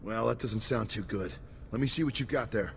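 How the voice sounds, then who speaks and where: An actor playing an excitable, folksy male reporter in a video game, chatty and colloquial with a gravelly voice. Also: fairly low pitch, fairly quick. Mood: chummy, curious. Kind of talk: video game character dialogue. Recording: reverberant hall with background noise.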